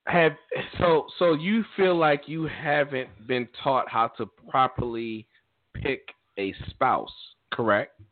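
A man hosting a casual call-in talk show, often repeating words for emphasis. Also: slow. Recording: muffled low-bandwidth online call audio.

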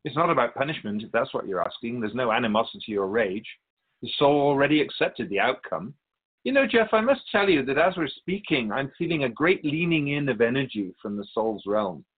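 A man playing a serene, wise otherworldly keeper of souls in a radio drama, speaking in a measured, formal, instructive manner. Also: medium pitch, sing-song, phone-quality sound. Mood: solemn, assured.

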